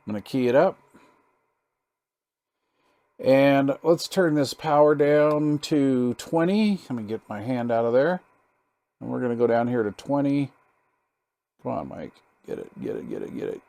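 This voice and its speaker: A man giving a casual hands-on demonstration as a hobbyist, talking in a halting, easygoing, folksy way and sometimes muttering to himself. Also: medium pitch, creaky, warm tone. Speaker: hobbyist presenter demonstrating radio gear.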